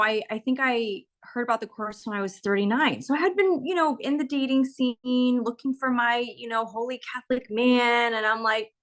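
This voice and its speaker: A woman in her forties from California, sharing her experience of a course in a casual, candid way, with frequent laughs and fillers. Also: sits high. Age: 40s. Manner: warm, candid, laughs often, many fillers. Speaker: course member sharing a testimonial. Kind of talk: casual testimonial on a video call.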